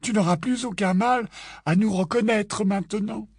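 A man's voice: high-pitched, normal speed, darkish tone, no room sound, clean studio sound, voice pushed up. A man voicing a joking young male wizard twin in a storybook reading. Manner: joking, wry.

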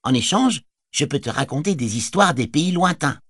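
A man's voice: on the high side, animated, moderate pace, a bit bright, husky, no room sound, clean studio sound, pitched above the performer's own voice. A man voicing a bold, cheeky young male hobbit who teases with playful mock boasting, in an audiobook reading. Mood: friendly. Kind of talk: audiobook character voice.

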